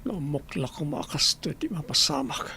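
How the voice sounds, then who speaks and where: A man playing a weary, pleading character with a gravelly, breathy voice in a radio drama. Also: a little high, normal speed, sharp tone, full character voice.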